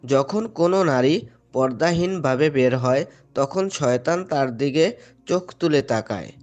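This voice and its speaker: A man's voice: fairly high pitch, expressive, a little slow, darkish tone. A man playing a devout father in an Islamic moral cartoon, speaking earnestly and patiently as he instructs his children. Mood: earnest admonishing. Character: devout, gently admonishing father of two.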